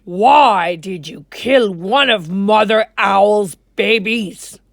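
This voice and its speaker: A woman voicing a grave, commanding lion king in a storybook, with regal, deliberate proclamations. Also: creaky, no room sound, studio quality, full character voice. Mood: stern. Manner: regal, booming, slow proclamations.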